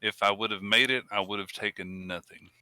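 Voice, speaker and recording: A man's voice: on the low side, steady tone, brisk, pushed and bright, clear, resonant tone, no room sound. A man chatting casually as a player in a tabletop game.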